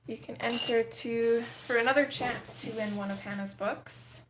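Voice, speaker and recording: A woman hosting a casual livestream, chatting with viewers as she colors, her voice a little gravelly from just waking up. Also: a little high, fairly even intonation, a little slow, roomy sound, background noise. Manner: chatty, reads and answers chat comments. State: slightly gravelly morning voice. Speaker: livestream host.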